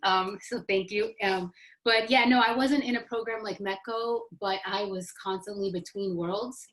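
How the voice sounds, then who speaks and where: A woman's voice: mid-range voice, fairly even intonation, moderate pace, a bit bright, low-quality recording. A woman from the Boston area speaking as an author on a panel, conversational, stressing points by repeating phrases. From Boston area, United States.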